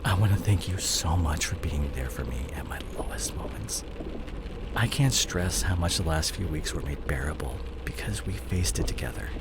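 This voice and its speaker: Man acting smooth, dramatic cheating CEO boyfriend, animated pitch. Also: normal speed, very noisy. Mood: tender, confessing. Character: cheating fallen CEO boyfriend, smooth-talking, evasive, image-obsessed. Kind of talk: intimate boyfriend roleplay audio drama.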